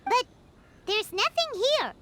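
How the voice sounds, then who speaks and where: A woman voicing a tiny, excitable, easily scared fairy companion in a game, with a squeaky childlike voice. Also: unhurried, full character voice. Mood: puzzled. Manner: exclamatory, refers to herself in third person.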